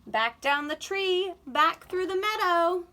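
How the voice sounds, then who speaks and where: Animated, high-pitched woman reading to children. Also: slow. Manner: animated, sing-song, expressive delivery. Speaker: read-aloud storyteller for young children. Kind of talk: children's picture book read-aloud.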